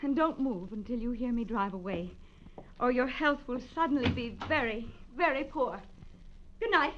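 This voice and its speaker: Actress as high-voiced, secretive femme fatale. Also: female voice, moderate pace, natural voice. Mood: coldly threatening. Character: brisk, secretive femme fatale, cool under pressure. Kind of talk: 1940s radio acting. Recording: dull, faintly noisy old radio recording.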